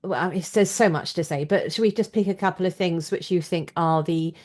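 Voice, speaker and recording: Female podcast host, slightly low register, thoughtful and conversational, loose phrasing. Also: fairly quick, darkish tone. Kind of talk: conversational interview.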